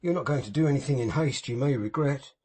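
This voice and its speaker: A man doing a frail, trembling old gentleman. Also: medium pitch, fast talker, natural voice. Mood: alarmed. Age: older. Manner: trembling, alarmed.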